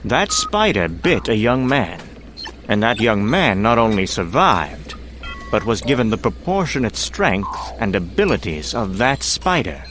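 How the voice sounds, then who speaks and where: A man acting as a menacing, grandiose scientist-industrialist in a comic-book drama, speaking theatrically with deliberate pauses. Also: fairly low pitch, big pitch swings, over music. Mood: grave, boastful.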